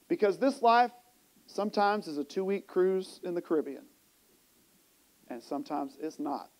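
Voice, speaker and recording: Male preacher, 40s, high voice, emphatic sermon delivery shifting between soft and forceful phrases, clip-on mic in a church. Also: poor audio. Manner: emphatic, rhetorical questions, swings between hushed and raised delivery. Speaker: pastor. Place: church sanctuary stage, indoors. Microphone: small mic clipped to the chest.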